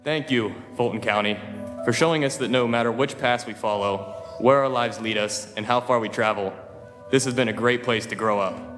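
A teenage boy speaks at a podium microphone on a large auditorium stage, with a dry, joking, lightly self-mocking delivery. His voice rings out with a long reverb. Soft music plays underneath him. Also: mid-range voice, normal speed. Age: teens. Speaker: valedictorian giving graduation speech. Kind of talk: humorous speech at a podium. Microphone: podium microphone, filmed from far.

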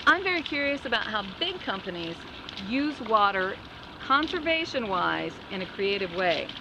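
A woman in her thirties hosting a garden vlog outdoors, talking to the camera with excited exclamations. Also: mid-range voice, normal speed. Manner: enthusiastic, exclamatory. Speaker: vlog host. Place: outdoor garden beside a house. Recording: outdoor camera audio with clear background noise.

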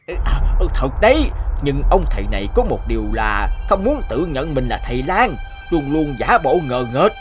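Jealous, scheming rich villager. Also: male voice, on the high side, very animated, normal speed, hardly any pauses. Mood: sly. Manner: gloating, scheming, sneering laughs. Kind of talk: animated folk tale voice acting. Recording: music bed behind the voice.

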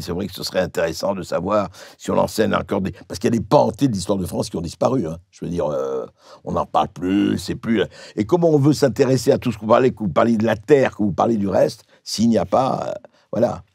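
A man's voice: on the low side, vocal fry, breathy edge, dry recording, clean studio sound. An older man hosting a talk show in a dim lounge set, chatting animatedly with many restarts. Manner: animated, gesturing, halting restarts. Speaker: host. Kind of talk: casual talk show chat. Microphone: vintage stand mic.

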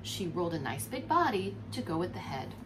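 A woman in her forties reading a storybook aloud to young children as a preschool teacher, expressive and explanatory, recorded indoors at home. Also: on the low side, fairly quick. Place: furnished room at home, indoors. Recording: clear background noise.

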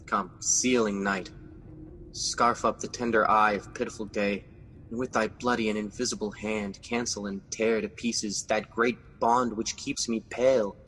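A man's voice: on the low side, steady tone, unhurried, a bit bright, big-room sound, slight background noise, low-quality recording. An actor playing Macbeth, a guilt-ridden scheming king, in a classic radio drama. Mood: brooding. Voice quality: brooding, intense.

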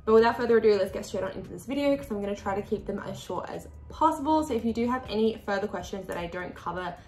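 A woman's voice: medium pitch, fast talker. Chatty young woman in an echoey room. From Australia. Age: 20s. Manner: chatty, expressive hand gestures. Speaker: sewing tutorial host. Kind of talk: casual tutorial talk to camera. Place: small room at a desk with a sewing machine.

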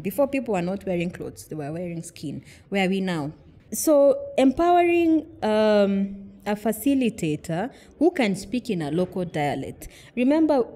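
A woman's voice: mid-range voice, warm tone. A middle-aged woman from Kenya, a podcast guest, explaining earnestly with examples and rhetorical questions. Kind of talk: conversational interview.

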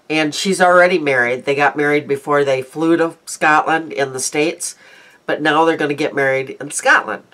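A woman's voice: low voice, a bit bright. A woman in her fifties chatting casually about her day and makeup in a rambling daily vlog, sounding congested, recorded on a close webcam in a small room at home. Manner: chatty, rambling, frequent ums. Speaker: beauty vlogger.

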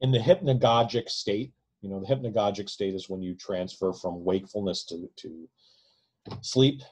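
A man's voice: lowish voice, steady tone, normal speed, darkish tone, slightly rough. A man in his forties giving a casual, discursive lecture from a home room into a close webcam, often adding "right?" to his points. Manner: discursive, frequent "right?" tag questions. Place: home room with bookshelves, indoors. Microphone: webcam at close range.

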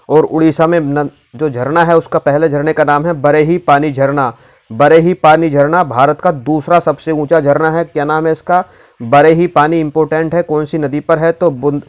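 Man teaching exam facts, smooth voice. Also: a little high, muffled recording. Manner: repeats key facts, quizzes the viewer. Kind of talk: exam-prep geography lesson.

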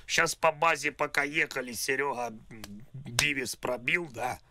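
A man on a prank call, talking in a halting, repetitive way like a naive, confused customer. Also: mid-range voice, gravelly, noisy background. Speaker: prank caller. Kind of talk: prank phone call, rambling improvised talk.

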